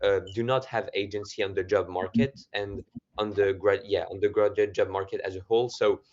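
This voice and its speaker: A young man from France with a French accent, giving measured answers as a student guest in an interview. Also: lowish voice, steady tone, a little mellow, slight background noise. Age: young adult. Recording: slightly muffled audio.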